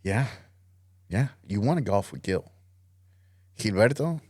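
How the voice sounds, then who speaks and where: A man's voice: on the low side, animated, measured pace, darkish tone, husky, dry recording, clean studio sound. A man talking casually as a podcast guest, easygoing, with lots of "you know" and fillers. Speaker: podcast guest, Marine veteran. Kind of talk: casual interview conversation.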